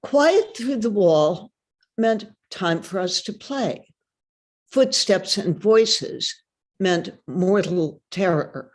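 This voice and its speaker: A woman reads a first-person story in a measured storytelling manner. She takes her time, with a slow pace and a medium-pitched voice. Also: animated, a little mellow. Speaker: reader. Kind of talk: dramatic reading of a first-person memoir-like story.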